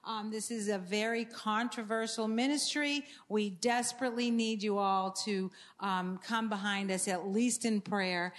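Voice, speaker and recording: A woman's voice: mid-range voice, fairly even intonation, a little slow, hardly any pauses. A woman from Maine giving an earnest church talk about books and ministry resources, with frequent fillers and restarts. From Maine, USA. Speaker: ministry speaker promoting books.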